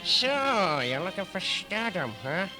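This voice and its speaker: A man's voice: sits high, big pitch swings, slow, over music, heavily acted. A man playing a stout, wisecracking middle-aged gangster in a 1970s radio comedy. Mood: cocky. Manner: wisecracking, smooth-talking. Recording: 1970s hospital radio recording.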